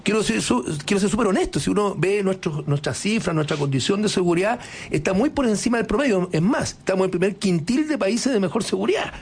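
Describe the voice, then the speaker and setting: Chilean congressman, high voice, emphatic and interjecting, keeps restarting. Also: expressive, fast, slightly rough. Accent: Chilean Spanish. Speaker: congressman guest. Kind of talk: political talk show debate.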